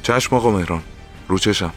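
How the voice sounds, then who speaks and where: An actor playing a middle-aged veteran security officer, hot-tempered but deferential, with a blustering colloquial manner. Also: male voice, low-pitched, a bit bright, faint music behind. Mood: humble, apologetic. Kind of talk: audio drama acting.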